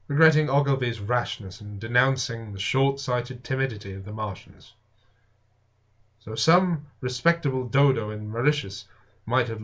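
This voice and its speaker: A man narrating a classic novel in a theatrical, expressive storytelling style with deliberate emphasis. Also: medium pitch, lo-fi sound. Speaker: audiobook reader and show host.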